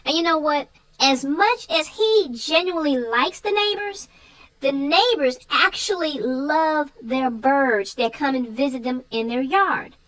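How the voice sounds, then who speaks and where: A woman's voice: sits high, a little slow, fairly bright tone, low-quality recording. A woman co-hosting a children's read-aloud show, chatting about a story with young viewers.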